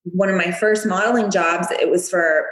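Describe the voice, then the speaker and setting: A woman's voice: lowish voice, fairly even intonation, normal speed, a bit bright, reverberant, a little hiss or hum, low-quality recording. A woman in her thirties from the United States, a podcast guest who chats in a bubbly way and laughs often. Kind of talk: casual interview.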